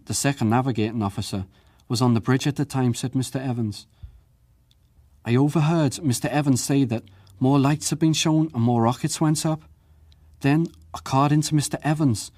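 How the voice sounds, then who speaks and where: A man acts out a working seaman testifying in a historical drama. He is earnest and plain-spoken, respectful to his questioner, with careful, measured delivery. The voice sits at a medium pitch in a very dry recording. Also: darkish tone, no room sound, close to an everyday voice. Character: earnest working ship's crewman testifying at an inquiry. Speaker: witness.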